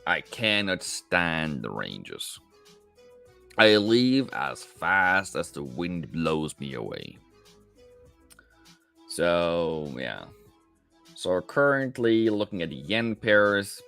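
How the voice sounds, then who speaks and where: A low-voiced man with a gravelly edge hosts a live trading stream. He talks in a lively, up-and-down way and chats casually with viewers, calling them mate. Faint music plays behind him. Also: drawn-out words, long pauses. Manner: casual, chatty, answers viewers directly, calls them mate. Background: faint background music.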